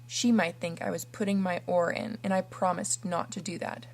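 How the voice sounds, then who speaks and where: Woman reading a timid elderly farmer, hushed and hesitant. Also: fairly low pitch, level tone, moderate pace. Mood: coaxing. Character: shy, kindly elderly male farmer.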